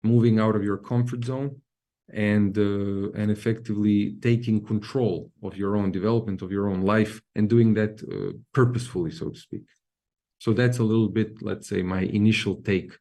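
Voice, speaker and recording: A man on a panel debate giving his view in a measured way, with fillers. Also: lowish voice, steady tone, dark tone.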